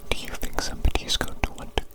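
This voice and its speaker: A woman performing a whispered ASMR roleplay as an evil doctor who dotes on and scolds a monster creation, coaxing and repeating phrases. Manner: slow, repetitive, coaxing delivery.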